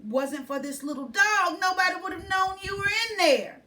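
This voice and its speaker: A woman's voice: very high-pitched, normal speed, bright, forceful tone, voice pushed up. A woman voicing a feisty, scrawny young girl who talks bluntly and sassily in a storybook reading. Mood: sassy. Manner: blunt and sassy. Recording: distant mic.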